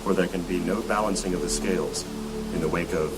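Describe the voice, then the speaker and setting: A man's voice: low-pitched, fairly even intonation, fairly quick. A man narrating a fantasy audio drama in a solemn, portentous, dramatic scripted style. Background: loud music and falling rain.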